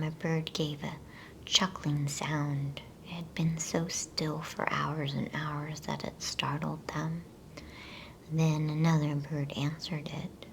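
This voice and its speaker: Female ASMR reader, hushed creaky whisper, flat and very unhurried, for bedtime storytelling. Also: low-pitched, a little hiss or hum. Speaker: ASMR storyteller reading a children's novel aloud.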